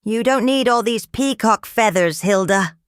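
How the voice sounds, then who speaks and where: Woman as a gentle, sensible storybook hen. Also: a little high, measured pace, clean tone, no room sound, clean studio sound, voice pushed up, lightly acted. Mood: gently reassuring. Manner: gentle, reassuring, earnest. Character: kind, caring, sensible sister hen. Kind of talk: storybook character reading.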